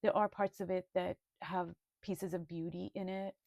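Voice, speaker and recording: A woman presenting her art journal in a video, speaking in a slow, thoughtful, gently earnest way. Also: fairly low pitch, little pitch movement, creaky, no room sound, clean studio sound. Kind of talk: reflective video flip-through.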